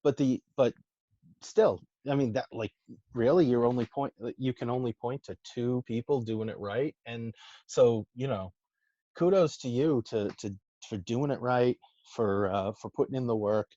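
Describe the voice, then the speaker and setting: A man's voice: medium pitch, moderate pace, dark tone, no room sound, highs cut off. A middle-aged man with a Massachusetts accent, talking casually as a podcast guest, rambling and swearing, with lots of restarts. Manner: rambling, profane, many restarts and fillers. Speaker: podcast guest, social media marketer. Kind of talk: casual chat.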